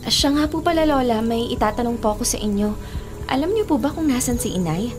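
Casting: actress as high-voiced young female lead, worried yet determined, earnest radio drama over clear music. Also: animated, fairly quick, natural voice. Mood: anxious. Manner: earnest, questioning. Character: anxious, determined young woman seeking her mother. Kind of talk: Filipino radio drama acting. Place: small radio studio. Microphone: studio condenser microphone. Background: dramatic music bed.

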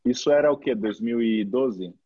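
A man's voice: mid-range voice, normal speed, mellow, slightly rough, no room sound. A man in his thirties with a São Paulo Brazilian accent, chatting casually as an interview host. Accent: São Paulo Brazilian Portuguese. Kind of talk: casual chat. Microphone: video call. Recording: dull, dry video-call audio.

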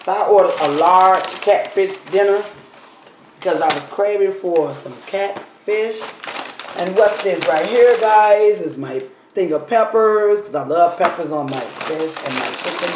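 Woman, 30s, slightly hoarse, casual chatty vlogger talking through her meal; phone on the table, echoey room, faint hiss. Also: fairly low pitch, moderate pace. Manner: chatty, talks while unpacking and eating food. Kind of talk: casual mukbang storytime chat. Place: dining table in a home room. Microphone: phone camera on the table.

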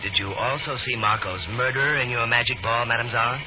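A man playing a calm, clever young detective in an old radio drama. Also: medium pitch, fast, over music, lightly acted. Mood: calm, probing. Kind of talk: 1940s radio acting. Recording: dull, noisy old radio broadcast.

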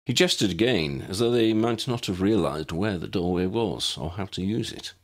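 A man narrates an audiobook story. His voice is low and gravelly at the edges, with lively rises and falls through the scripted prose. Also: moderate pace.

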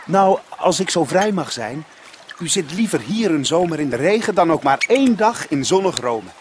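Eager, high-voiced Roman slave. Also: male voice, big pitch swings, normal speed, a little hiss or hum. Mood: eager, respectful. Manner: eager, respectful. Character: deferential, cheerful male slave of a Roman master. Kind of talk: radio drama acting.

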